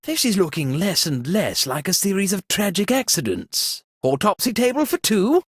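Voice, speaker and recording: An actor playing a pompous, flustered aristocratic British lord in a video game, with a theatrical, fussy delivery. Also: male voice, sits high, big pitch swings, moderate pace, slightly rough, no echo, studio quality, full character voice. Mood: dismayed, droll. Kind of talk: video game character voice acting.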